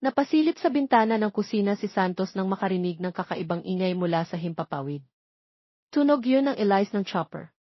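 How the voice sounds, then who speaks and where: A woman's voice: mid-range voice, expressive, brisk, no echo. A woman with a Filipino accent reading a scripted romance story as its narrator. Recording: slightly dull lo-fi sound.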